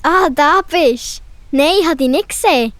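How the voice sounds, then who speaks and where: A woman's voice: high voice, moderate pace, fairly bright tone, dry recording. A woman playing a cheerful, curious young animal friend in a children's audio story, with a Swiss German accent and a playful, childlike manner.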